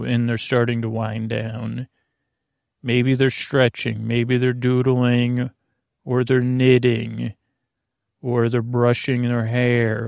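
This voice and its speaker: Man, podcast host, heavy vocal fry, dry close sound, rambling sleep monologue, halting, circles back on himself. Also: medium pitch, a little mellow, dry recording. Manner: meandering, halting, keeps circling back and losing his thread. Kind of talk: rambling bedtime monologue to help listeners sleep.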